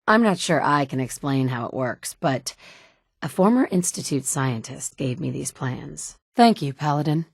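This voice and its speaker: Blunt wasteland survivor. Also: female voice, deep voice, expressive, normal speed, gravelly, slightly rough, no room sound, studio quality, heavily acted. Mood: steady. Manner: terse, matter-of-fact, blunt. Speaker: player character in a video game. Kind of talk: video game voice acting.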